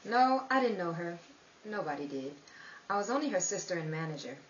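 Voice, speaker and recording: A dull, roomy recording of an actress as a world-weary woman in a noir radio play. Her mid-pitched voice moves expressively, wry, guarded and fatalistic. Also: sing-song, brisk. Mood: curt, guarded. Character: world-weary, guarded sister and manager, narrating grimly. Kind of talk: 1930s radio noir narration and acting. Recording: dull, roomy, distant mic, faint noise.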